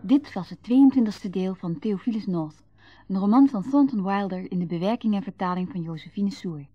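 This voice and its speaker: A woman's voice: mid-range voice, moderate pace, warm tone. A woman reading scripted credits as a radio drama announcer. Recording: dull recording with music behind.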